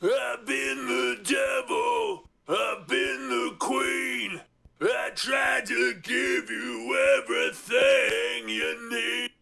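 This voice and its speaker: A man singing a rock vocal in a strained, half-shouted belt. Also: very high-pitched, drawn-out words, creaky. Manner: strained, belting.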